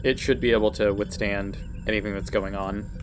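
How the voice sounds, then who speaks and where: A man's voice: fairly low pitch, steady tone, brisk. A man playing a tabletop role-playing game, talking through options casually and tentatively. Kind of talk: casual in-character game discussion. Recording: music playing behind the voice. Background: music.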